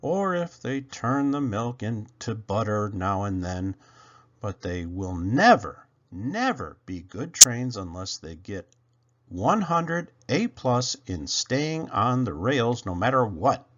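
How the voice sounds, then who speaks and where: A man's voice: on the low side, sing-song, takes it slowly, fairly bright tone, lo-fi sound. A man reading a children's storybook aloud as narrator, emphatic and drawing out key words. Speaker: storybook reader.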